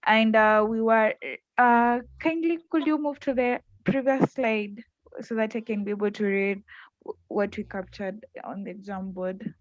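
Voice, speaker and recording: Woman from kenya, video call, dull lo-fi audio, fairly high voice, tentative. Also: fairly even intonation, measured pace, dry recording. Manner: tentative, repeats herself. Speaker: breakout group participant. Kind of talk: online conference report-back.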